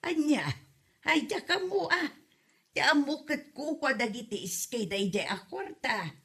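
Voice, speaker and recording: A woman's voice: high-pitched, lively intonation, measured pace, fairly bright tone, full character voice. A woman acting an outspoken, indignant village woman in an Ilocano comedy drama, with an Ilocano accent. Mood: indignant, assertive.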